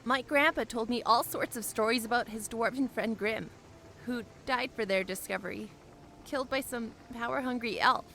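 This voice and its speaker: A woman's voice: a little high, moderate pace, vocal fry, bright, forceful tone, a little hiss or hum. A woman playing an earnest, wistful young airship pilot in a fantasy audio drama. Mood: fond, earnest.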